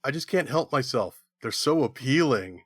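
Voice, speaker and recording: A man's voice: medium pitch, lively intonation, normal speed, fairly bright tone, slightly rough, dry recording, clean studio sound. A man playing himself in a comedic audio skit with a playful, punning manner.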